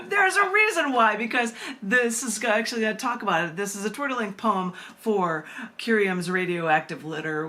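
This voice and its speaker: A woman poet reading at a live open mic, animated and self-amused, with rambling, chatty asides. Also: fairly low pitch, slight background noise.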